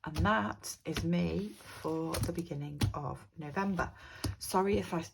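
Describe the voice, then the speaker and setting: A British woman with a croaky voice from a cold, chatting casually about her budget in a rambling way. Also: lowish voice, unhurried, background noise. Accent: British English. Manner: chatty, rambling, with frequent ums. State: croaky from a cold, sore throat. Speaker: budgeting vlogger. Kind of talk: casual talk to camera.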